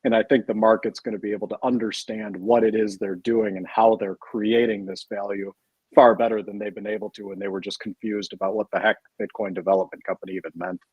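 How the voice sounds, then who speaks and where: A man's voice: on the low side, level tone, fast, slightly rough, no room sound, dull audio. A man speaking as a panel guest in a casual discussion, explaining steadily and tagging his points with questions. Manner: explanatory, keeps adding tag questions.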